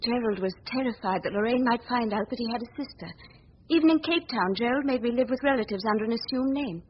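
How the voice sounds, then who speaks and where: An actress playing an earnest, anxious young woman journalist in a 1940s radio drama, speaking gravely and dramatically. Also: fairly high pitch, fast. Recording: muffled old 1940s radio recording.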